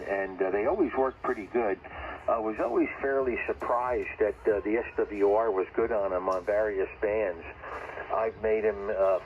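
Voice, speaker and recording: A man chatting casually as an amateur radio operator over shortwave, rambling a little and circling back to repeat his points. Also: lowish voice. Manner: rambling, conversational, repeats himself. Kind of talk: casual ham radio chat. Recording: muffled, noisy ham radio transmission.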